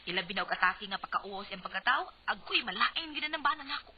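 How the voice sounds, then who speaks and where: A woman's voice: mid-range voice, lively intonation, quick pace, noisy background, close to an everyday voice. A woman with a Cebuano accent playing a worried, exasperated politician's wife in a radio drama, exclaiming and questioning dramatically. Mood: alarmed, curious. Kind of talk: Bisaya radio drama acting. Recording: dull lo-fi radio recording.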